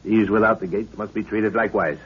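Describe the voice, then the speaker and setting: An actor playing a brisk, commanding middle-aged expedition leader and father in 1930s radio adventure style. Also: fairly low pitch, fairly even intonation, close to an everyday voice. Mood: brisk, pondering. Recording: dull, noisy 1930s radio recording.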